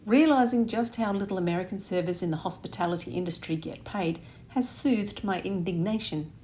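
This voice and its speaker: A woman reading a romantic comedy aloud as expressive audiobook narration. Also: medium pitch, fairly quick, slight background noise.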